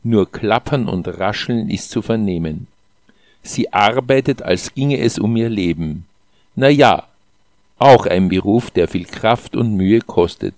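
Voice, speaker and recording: Guy reading little stories, lowish voice, really slow and measured, no room sound. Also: a little mellow, dry recording, clean studio sound. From Austria. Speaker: author reading own short texts. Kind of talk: scripted literary narration.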